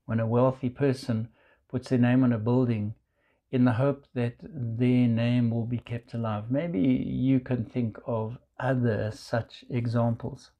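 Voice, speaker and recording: A man with a South African accent, preaching a measured sermon and quoting scripture. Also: medium pitch, dark tone, muffled recording. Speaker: pastor.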